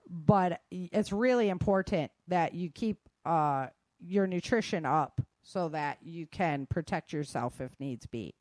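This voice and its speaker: A female host talks in a conversational, emphatic way, addressing the audience directly. Her pitch sits in a middle range for a woman, and the sound is dry with very little room around it. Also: no echo. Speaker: host of a self-defense and survival show. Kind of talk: casual spoken advice to listeners.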